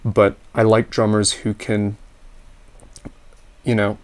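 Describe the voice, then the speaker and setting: A man with a slightly raspy voice, teaching ear training: he explains in a relaxed, practical way and sings solfege scales and intervals. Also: lowish voice, slow pace. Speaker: music teacher. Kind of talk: instructional talk with sung solfege drills.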